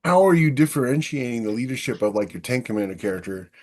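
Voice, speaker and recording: A man's voice: mid-range voice, animated, fast, darkish tone. A man hosting a podcast, asking questions casually and working them out as he speaks. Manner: informal, thinks questions out aloud. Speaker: podcast host, interviewer.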